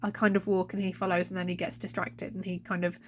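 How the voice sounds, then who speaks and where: A woman's voice: lowish voice, little pitch movement, fast, slight background noise. A young woman, a teacher, chatting casually to camera at home while feeling fluey and tired, self-mocking. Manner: chatty, self-mocking, talks to her cat. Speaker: teacher and budgeting vlogger. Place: at home, indoors.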